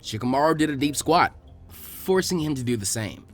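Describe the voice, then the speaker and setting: Animated higher-pitched man reading a story over music. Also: normal speed. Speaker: fan fiction narrator.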